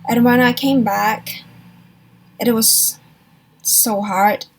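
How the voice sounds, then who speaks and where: A young woman from Hong Kong, a university student, talking softly and hesitantly in a personal confessional vlog, pausing and restarting as she reflects. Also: on the high side, fairly even intonation, a little hiss or hum, low-quality recording. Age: young adult. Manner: soft, hesitant, reflective, with frequent pauses and restarts. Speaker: vlogger.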